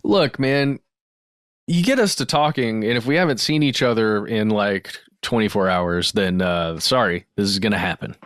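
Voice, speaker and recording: A man's voice: lowish voice, normal speed, a bit bright, dry recording, clean studio sound. A man co-hosting a podcast, chatting casually with informal, loose phrasing. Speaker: podcast co-host. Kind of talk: casual chat.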